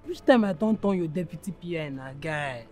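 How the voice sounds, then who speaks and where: Higher-voiced grumbling Nigerian man, acted. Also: expressive, a little fast, darkish tone, faint music behind, close to an everyday voice. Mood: grumbling, reluctant. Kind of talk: screen drama acting in Pidgin.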